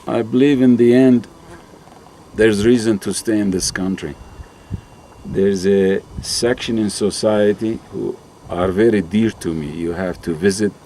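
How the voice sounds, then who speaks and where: A man speaks with earnest hope. His voice is deep and mellow. Faint outdoor noise sits far back. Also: fairly even intonation, unhurried. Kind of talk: reflective interview answers.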